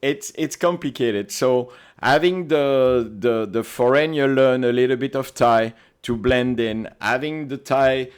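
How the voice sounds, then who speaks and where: A man's voice: mid-range voice, a little slow, few pauses, clear, resonant tone. A man from Belgium with a Belgian accent, chatting as a podcast guest and telling stories animatedly in non-native English. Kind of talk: casual interview chat.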